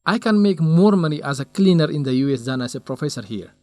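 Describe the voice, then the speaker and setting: A man's voice: a little high, lively intonation, quick pace, darkish tone, dry recording, close to an everyday voice. A man playing a stubborn, lovesick young researcher. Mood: stubborn, earnest. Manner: earnest, defensive. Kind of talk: radio drama acting.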